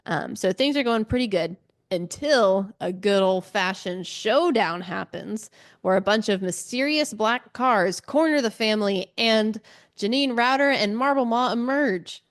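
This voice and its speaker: A woman's voice: mid-range voice, a little slow. A woman co-hosting a book podcast, giving a casual, chatty recap with informal asides and a giggly streak. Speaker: podcast co-host.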